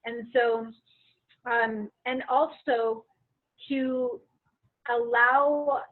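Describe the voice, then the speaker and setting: An earnest woman speaks in a fairly high voice, laying out her point with measured stress. Her audio comes through a tinny, phone-like call line. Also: steady tone. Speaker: book club participant. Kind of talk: casual group discussion. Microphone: video call.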